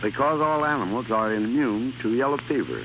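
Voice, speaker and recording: A man's voice: medium pitch, lively intonation, normal speed. A middle-aged man, earnest and plain-spoken, giving stiffly read answers as a guest in a scripted 1930s radio interview. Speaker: interview guest, former army private. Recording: dull, noisy, humming 1930s radio recording.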